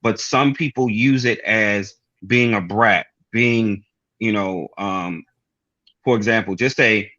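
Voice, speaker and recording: A man in his forties with a Baltimore accent, explaining things haltingly with many ums in casual chat. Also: lowish voice, bright, forceful tone, highs cut off. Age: 40s. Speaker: guest, toy maker.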